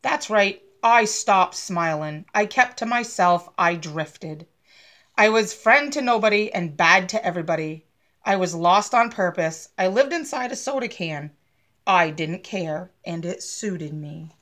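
A woman's voice: fairly low pitch, expressive, unhurried, fairly bright tone. A woman voicing a gloomy, reforming bad seed from a storybook, telling his story dramatically for children. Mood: sullen. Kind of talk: children's picture book read-aloud.

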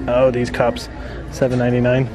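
A man's voice: medium pitch, completely flat delivery, moderate pace, poor audio. Male shopping vlogger, casual and unscripted, calling out prices as he browses in a retail store. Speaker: shopping vlog host. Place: inside a busy retail store. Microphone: handheld camera, off screen.

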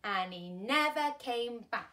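Woman in her 30s reading a kids book at home, slow n careful, acts it up with big pauses. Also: on the high side. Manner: animated, deliberate, dramatic pauses. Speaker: primary school teacher reading a story. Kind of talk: expressive children's storytelling. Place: small furnished room at home, indoors.

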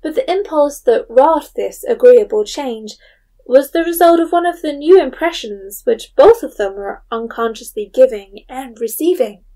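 A woman narrating a period audio drama in a measured, literary storytelling manner. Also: high-pitched, expressive, dark tone.

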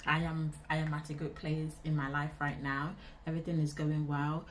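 Low-voiced young Nigerian woman vlogger. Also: flat delivery, normal speed, slight background noise. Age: 20s. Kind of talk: casual Q&A chat to camera. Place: small indoor room.

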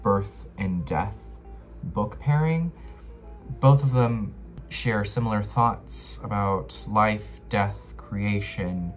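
A young American man chatting casually to camera as a book vlogger, slangy and given to dramatic emphasis. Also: fairly low pitch. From Los Angeles, United States. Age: young adult. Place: small bedroom, indoors.

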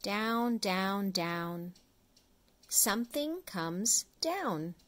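Middle aged lady reading a kids picture book out loud, really slow and deliberate. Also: mid-range voice, sing-song. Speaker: storyteller, children's read-along host. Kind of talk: read-aloud of an early reader picture book for young children.

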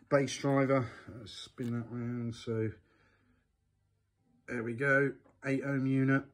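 A man in his forties talking casually and knowledgeably as a hi-fi reviewer, filmed in his living room. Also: medium pitch. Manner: knowledgeable, chatty, expressive hand gestures.

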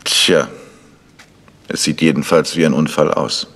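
A man's voice: very deep voice, steady tone, measured pace, a bit bright, heavily acted. An actor playing a composed male amateur detective in a German radio crime drama, asking probing, matter-of-fact questions. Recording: long reverberant hall.

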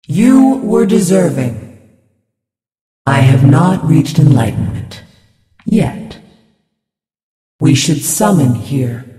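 A serene, echoing divine spirit. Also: female voice, fairly low pitch, a little mellow, heavily acted. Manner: solemn, measured pronouncements. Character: serene divine female twin spirit healer, righteous and calm. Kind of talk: video game character voice lines. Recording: echoey distant reverberant sound.

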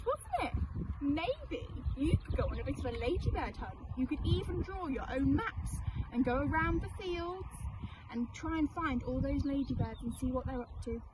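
A woman reads a children's story in a high voice at an unhurried pace. She is expressive and speaks as if to young listeners. There is clear noise behind her. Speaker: storybook reader. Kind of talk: children's story read aloud.

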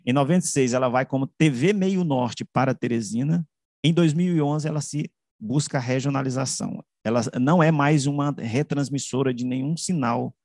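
A man with a Brazilian accent presents his research from slides in a mid-range voice. He speaks haltingly, restarting and correcting himself and checking in with short tags. The sound is dry, on a video call. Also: medium pitch, darkish tone, no echo. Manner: halting, with frequent self-corrections and tag questions. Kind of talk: academic slide presentation, semi-improvised. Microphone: computer mic on a video call.

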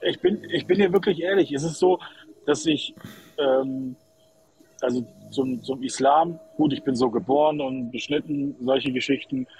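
A man's voice: medium pitch, animated, normal speed, a little mellow, slight background noise, rough recording. A man from Kosovo calling into a livestream, chatting in an opinionated, colloquial way and repeating himself for emphasis. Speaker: live call-in guest. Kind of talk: casual opinionated chat.

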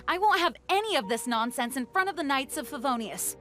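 An actress playing a dutiful young knight commander in a video game, formal, composed and firm. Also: female voice, high-pitched, animated, moderate pace, sharp tone, faint music behind. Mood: indignant.

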